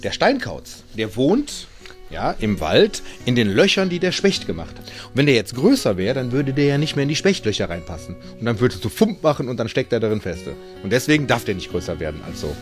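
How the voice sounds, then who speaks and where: A man's voice: medium pitch, big pitch swings, a little fast, soft background music. A man working as a falconer, giving casual, plain-spoken and playful explanations to children.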